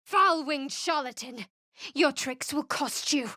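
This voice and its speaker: Game cleric, woman, dry and guarded, a touch high, close dead-dry studio sound. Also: slow, bright, forceful tone, no echo, exaggerated voice. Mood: guarded, wry. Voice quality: dry, clipped and wry. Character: guarded sharp-tongued young female cleric. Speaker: game character. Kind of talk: video game voice acting.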